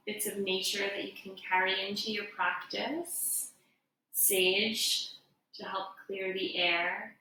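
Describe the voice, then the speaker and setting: A woman leads a guided meditation in a very echoey room, some distance from the microphone. She speaks slowly and gently, like a yoga teacher giving soothing step-by-step instruction. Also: medium pitch. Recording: echoey room, distant mic, faint noise.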